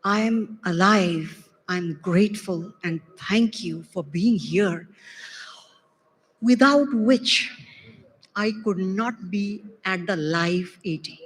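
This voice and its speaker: A woman's voice: mid-range voice, takes it slowly, slight background noise. A woman doctor from the audience, not a native Arabic speaker, giving earnest thanks and listing things one by one. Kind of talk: spontaneous public remarks. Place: large conference hall. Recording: reverberant conference hall.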